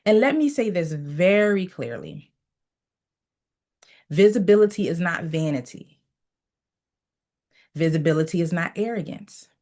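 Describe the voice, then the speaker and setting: A woman with a fairly low voice leads a motivational coaching session. She talks in a preachy, repeating rhythm and keeps telling her listeners to write key lines down. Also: expressive, a little slow, long pauses, darkish tone. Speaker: mindset coach.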